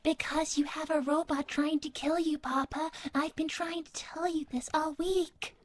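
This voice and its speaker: A woman voicing a solemn little girl android, a villain's devoted AI daughter, speaking in a measured, formal, earnest way on a livestream. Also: sits high, steady tone, slight background noise, lightly acted. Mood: grave, insistent. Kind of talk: improvised in-character livestream Q&A.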